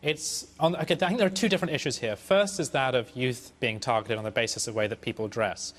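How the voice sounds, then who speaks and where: A man on a debate panel makes his case in an assertive, argumentative way. His middle-pitched voice rings out in a large hall with a lingering echo. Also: quick pace, a bit bright.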